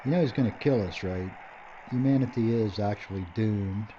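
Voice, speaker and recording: An actor playing a stubborn, sardonic filmmaker facing doom in an audio drama. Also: male voice, fairly low pitch, normal speed, close to an everyday voice. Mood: grim, wry. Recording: dull, noisy audio with ambience.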